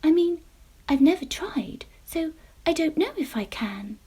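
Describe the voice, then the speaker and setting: A woman's voice: expressive, measured pace. A woman voicing a tearful little pumpkin girl. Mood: unsure. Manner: high, bright, pleading.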